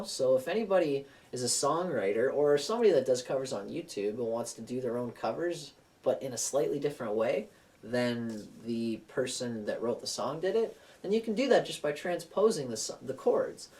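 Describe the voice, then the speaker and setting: A young man giving a relaxed guitar lesson, rambling with plenty of fillers and restarts as he talks through chords and keys. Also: mid-range voice, distant mic. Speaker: guitar teacher. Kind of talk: casual guitar lesson with singing. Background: acoustic guitar.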